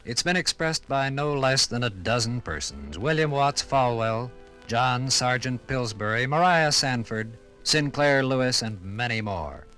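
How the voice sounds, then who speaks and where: A man's voice: mid-range voice, animated, measured pace, bright, forceful tone, soft background music. An American man narrating a 1950s radio documentary from a script in a solemn, declamatory, dramatic manner.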